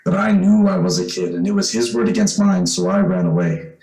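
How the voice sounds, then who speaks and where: A man's voice: sits high, wildly swooping pitch, fairly quick, creaky, darkish tone, heavily acted. A man playing an earnest, hesitant young man in an old-time radio suspense play, with boyish exclamations. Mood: earnest, confessing. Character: earnest boyish young man who trapped his would-be killer. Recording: roomy, echoing amateur radio play.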